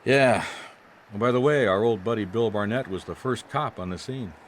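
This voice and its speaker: A noisy radio drama track carries a man playing a hard-boiled American detective. The voice is low and dry, world-weary and offhand. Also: moderate pace, close to an everyday voice. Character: world-weary, wry male private detective. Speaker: actor in a radio drama, also narrating. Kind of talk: noir radio drama narration and dialogue.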